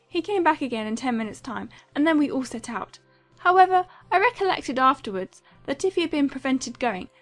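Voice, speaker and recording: A woman's voice: sits high, a bit bright. A woman playing a giddy, chattering young newlywed in a dramatized audiobook, gushing and rambling with thoughtless self-absorption. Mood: breathless chatter. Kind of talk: dramatized audiobook character acting.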